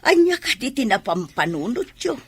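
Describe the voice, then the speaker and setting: A woman playing a curious, fretful mother in an Ilocano audio drama, with a husky voice. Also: fairly high pitch, sing-song, normal speed, no echo. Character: curious, fretful mother eager to meet in-law.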